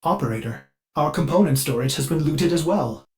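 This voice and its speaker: An actor playing a fussy, eager-to-please artificial mind of a spaceship in a video game, with an overly polite, theatrical and halting delivery. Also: male voice, fairly high pitch, darkish tone, lightly acted. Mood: apologetic, eager. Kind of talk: video game character dialogue.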